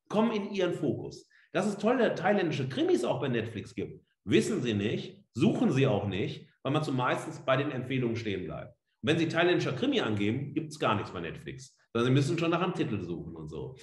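A man giving an explanatory slide lecture as a professor, speaking emphatically with asides. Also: mid-range voice. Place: indoor room, seated on camera. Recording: roomy, echoing indoor sound.

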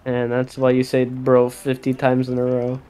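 A man's voice: mid-range voice, monotone, normal speed, dark tone, clean tone. A man bantering in a gaming voice chat, firing mocking jabs and laughing. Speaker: player in voice chat. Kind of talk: casual banter with gamers.

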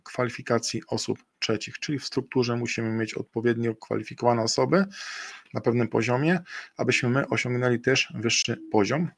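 A man's voice: medium pitch, steady tone, normal speed, vocal fry, breathy edge, no echo. A middle-aged man giving a webinar presentation over a video call. He talks in a hesitant way, with many fillers and self-corrections. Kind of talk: screen-share webinar presentation. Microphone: webcam and computer mic on a video call.

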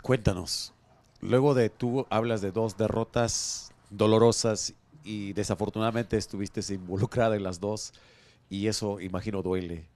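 A man working as a reporter, congratulating a player and asking a measured question. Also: on the low side, steady tone, a little mellow.

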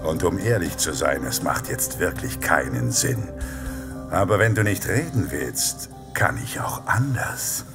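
An actor playing a menacing, sadistic vigilante posing as Santa, taunting deliberately with mock friendliness in a horror radio play. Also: male voice, extremely low pitch, big pitch swings, over music, full character voice. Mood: threatening. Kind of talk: German horror audio drama acting.

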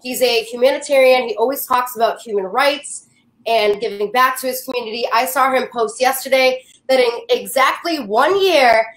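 A woman's voice: a little high, normal speed, fairly bright tone. A young woman hosting an upbeat interview show over a video call from a room at home. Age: young adult. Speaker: show host. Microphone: video call microphone. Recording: roomy video call sound.

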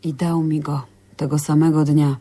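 An actress playing a wistful, philosophizing woman in a radio drama, dreamy and deliberate. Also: sits low, little pitch movement, warm tone, breathy edge. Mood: subdued.

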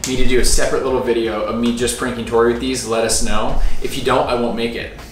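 A man's voice: medium pitch, flat delivery, fast talker, far from the mic, slight background noise. A young man chatting casually on a couple's vlog from his living room, joking and rambling with self-mocking asides. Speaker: vlogger. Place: home living room, indoors. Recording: roomy echo with faint music.

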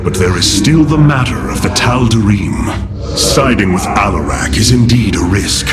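Solemn, slow-speaking noble alien leader. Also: male voice, fairly low pitch, lively intonation, loud music behind the voice. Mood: solemn, reflective. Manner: grave, formal, deliberate oratory. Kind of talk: dramatic video game acting.

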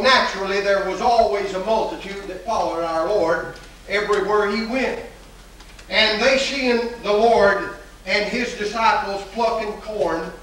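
A man's voice: high-pitched, fairly bright tone. An older man preaching a folksy, emphatic sermon from a church pulpit, with repeated phrases and filler uhs, recorded on 1980s video. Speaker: pastor. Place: large church sanctuary at a pulpit. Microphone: pulpit microphone, far camera. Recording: echoey church hall from far off, hissy 1980s video.

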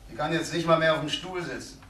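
An actor as a high-voiced brutal man, far off. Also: fast, fairly bright tone, lightly acted. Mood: defiant. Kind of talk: German crime radio play acting. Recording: echoey, far from the mic.

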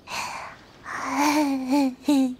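A tiny girl ninja from a video game, drowsy and high-voiced. She mumbles very slowly over faint background noise. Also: flat delivery, darkish tone. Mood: dreamy, sleepy. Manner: slow, sleepy mumbling.

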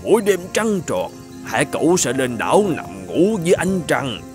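A man's voice: mid-range voice, big pitch swings, moderate pace, darkish tone, faint music behind, close to an everyday voice. A man voicing a kindly, grateful old man in a children's fairy-tale cartoon. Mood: grateful, earnest.

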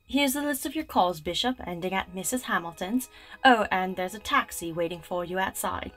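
A woman's voice: medium pitch, expressive, normal speed, fairly bright tone, soft background music. A woman playing a polite, hesitant secretary in a radio-style drama. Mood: deferential. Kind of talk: radio-play style acting.

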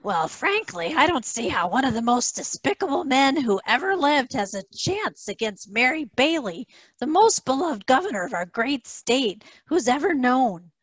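A woman's voice: high voice, sing-song, normal speed, husky, dry recording, heavily acted, poor audio. A woman voicing a principled, disapproving wife and mother, earnest and firm, in an amateur cartoon table read.

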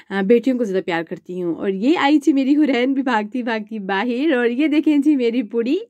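A woman's voice: fairly high pitch, darkish tone, dry recording. A woman, chatting politely through a home cooking video as a mother and vlogger. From Pakistan. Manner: chatty, polite with frequent "janab" and "ji". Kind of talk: casual cooking voice-over.

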